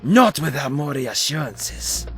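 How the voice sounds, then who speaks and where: A man acting a very husky, cautious companion. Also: fairly high pitch, sing-song, takes it slowly, faint music behind, heavily acted. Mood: reproachful. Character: cautious, disapproving male companion of an adventurer. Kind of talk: audio drama acting.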